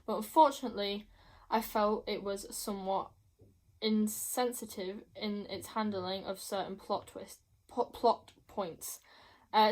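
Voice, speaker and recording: Young woman doing a casual book chat, gushy and emphatic, says stuff twice, normal pitch. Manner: gushing, emphatic, repeats herself. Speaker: book review vlogger.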